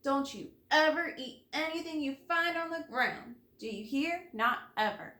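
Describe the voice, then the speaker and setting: A woman's voice: high-pitched, lively intonation, measured pace, bright, forceful tone, roomy sound, voice pushed up, heavily acted. A woman voicing a male school janitor in a storybook, emphatic and scolding but caring. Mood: stern.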